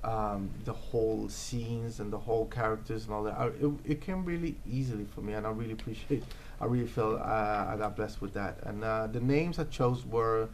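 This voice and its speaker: A man's voice: on the low side, moderate pace, few pauses, a little hiss or hum. A man in his thirties from Norway, answering interview questions in an earnest, hesitant way with many fillers and restarts. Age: 30s. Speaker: interview guest, comic book creator.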